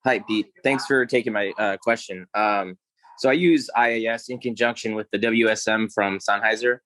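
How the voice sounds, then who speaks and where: A man's voice: lowish voice, fairly even intonation, moderate pace, fairly bright tone. A man who works as a touring audio technician, asking a technical question as a participant in an online session.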